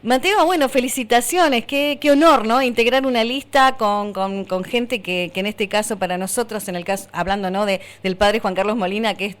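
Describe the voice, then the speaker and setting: A woman's voice: medium pitch, normal speed, few pauses. Woman, Argentine accent, radio host, talkative, stumbling over words, studio mic. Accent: Argentine Spanish. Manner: chatty, many fillers and restarts. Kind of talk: radio interview.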